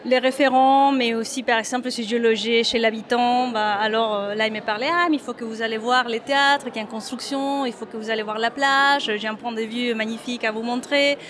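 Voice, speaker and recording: A hesitant woman from Mexico with a somewhat high voice answers an interviewer's questions about her work. She restarts her sentences often and fills pauses with "uh" as she searches for words. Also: fairly even intonation, a bit bright. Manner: hesitant, many fillers and restarts. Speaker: photographer. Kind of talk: interview at an exhibition opening.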